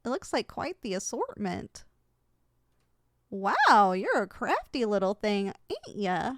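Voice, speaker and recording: A woman's voice: medium pitch, huge pitch swings, takes it slowly, dry recording, studio quality. A woman playing a kind, soothing giantess in an ASMR roleplay. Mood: curious, reassuring. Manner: soothing, folksy, gently reassuring. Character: kind, gentle young giantess homeowner comforting a tiny person.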